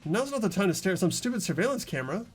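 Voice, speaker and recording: A man's voice: sits high, big pitch swings, racing, husky, faint music behind, pitched above the performer's own voice, full character voice. A man voicing an anxious, earnest teenage boy, the protagonist of a video game, narrating his thoughts dramatically.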